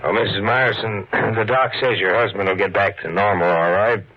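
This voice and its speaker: An actor playing a plain-spoken, steady police officer, folksy and a little hesitant, in 1940s radio style. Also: male voice, fairly low pitch, moderate pace, lightly acted. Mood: explaining, earnest. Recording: dull lo-fi 1940s radio recording.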